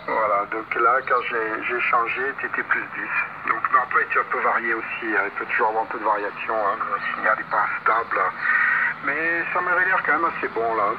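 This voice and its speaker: A man chatting casually as an amateur radio operator, rambling and repeating himself over a radio transmission. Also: medium pitch. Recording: muffled shortwave radio, heavy static and hum. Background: loud radio noise.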